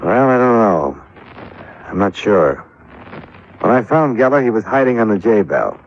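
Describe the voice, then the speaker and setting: An actor playing a wry, stubborn American insurance investigator in a clipped 1950s radio detective style. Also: male voice, lowish voice, very animated, a little slow. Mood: matter-of-fact. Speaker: lead actor and narrator. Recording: muffled, noisy old radio recording.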